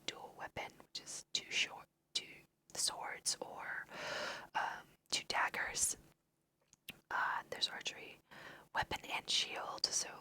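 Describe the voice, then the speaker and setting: A woman whispering casual, chatty ASMR commentary over a video game, thinking aloud in a breathy voice. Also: sharp tone. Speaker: host of an ASMR let's play.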